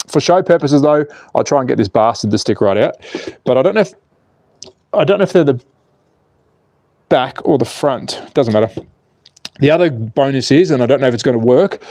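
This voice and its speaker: A cheeky Australian man in his forties talks viewers through a slot car build on a stream, swearing freely and joking with the chat. His voice is mellow and dark. The sound is close and dry. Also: mid-range voice, no room sound. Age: 40s. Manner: cheeky, swearing, laughs at his own jokes, reads out chat. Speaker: slot car channel host. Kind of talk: casual livestream chat.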